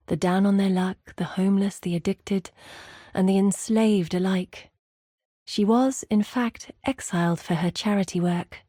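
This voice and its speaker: A woman playing an earnest, weary scholar-explorer in a video game, telling her recollections in a measured, articulate way. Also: mid-range voice, warm tone, dry recording, clean studio sound, natural voice. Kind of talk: scripted video game character monologue.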